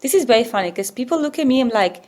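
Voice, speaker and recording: A woman from southern Spain with a Spanish accent, a guest talking in an animated, chatty way with many fillers. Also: fairly high pitch, rapid-fire. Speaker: guest, embroidery workshop co-founder. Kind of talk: casual interview chat.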